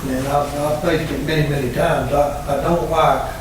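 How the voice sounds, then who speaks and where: A man's voice: on the high side, steady tone, moderate pace. A man with an East Tennessee accent speaks informally and plainly as a committee member at a meeting. His voice comes from away from the mic in a very echoey room, with noticeable noise. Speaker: county committee member leading questions. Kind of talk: informal questioning at a public meeting. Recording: very echoey, noisy room, distant microphone.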